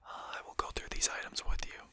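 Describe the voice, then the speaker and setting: A performer voicing a composed, courteous, faintly mocking vampire in a breathy, articulate whisper for an ASMR roleplay. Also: dry recording. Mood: politely condescending. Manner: slow, articulate, formal, gently mocking. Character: composed, courteous, condescending vampire addressing a hunter.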